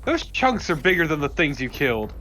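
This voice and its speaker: A man's voice: on the high side, sing-song, fairly quick, a bit bright, faint music behind, low-quality recording. A man giving casual game commentary as a co-host, with dry, teasing, sarcastic banter. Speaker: let's play co-commentator. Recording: faint background game noise.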